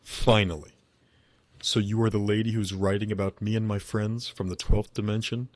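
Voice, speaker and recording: Low calm angelic spirit dude, old and wise, a guy voicing it, formal and measured. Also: dry recording, close to an everyday voice. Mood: calm, friendly. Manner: measured, formal, gently curious. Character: wise angelic male spirit from another dimension. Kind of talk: scripted dialogue.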